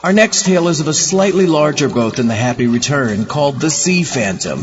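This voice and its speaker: A male horror host delivers a scripted, spooky introduction in a mid-pitched voice. The sound is muffled, with clear noise in the background. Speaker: host of a horror radio show.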